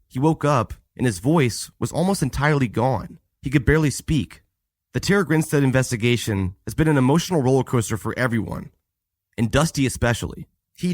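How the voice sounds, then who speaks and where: A young man hosting a podcast, narrating a scripted story in a measured, matter-of-fact way. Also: medium pitch, no echo, studio quality. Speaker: podcast host.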